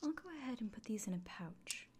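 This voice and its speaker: A woman playing a friendly fantasy innkeeper in a soft, breathy, often whispered ASMR roleplay, speaking gently and without hurry. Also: mid-range voice, animated, a little hiss or hum, natural voice. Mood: quietly proud. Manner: gentle, unhurried, caring. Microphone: close microphone.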